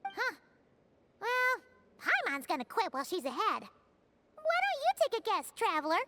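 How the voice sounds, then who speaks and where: A woman voicing a tiny, cheeky, childlike fairy companion in an anime game, squeaky and given to exclamations. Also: wildly swooping pitch, a little slow, background noise, full character voice. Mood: excited, baffled. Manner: exclamatory, refers to herself in third person. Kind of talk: anime game character dialogue.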